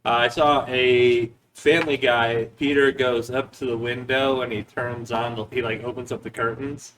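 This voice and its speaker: A man's voice: medium pitch, level tone, moderate pace, fairly bright tone, slight background noise. Young male podcast co-host, mid-range voice, off-mic, meandering crude storyteller. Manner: rambling, swears casually. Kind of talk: casual crude banter. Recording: voice distant from mic.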